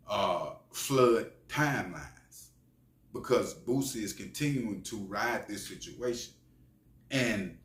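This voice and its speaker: A man giving opinionated, preachy street-slang commentary as a channel host, speaking emphatically. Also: fairly low pitch. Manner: preachy, emphatic, often tagging phrases with slang address. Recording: echoey room sound.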